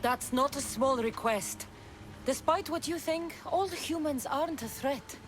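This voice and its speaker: An actress playing a blunt, wry, pragmatic warrior heroine of ancient Greece in a video game drama. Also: female voice, sits high, measured pace. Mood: resolute. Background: music.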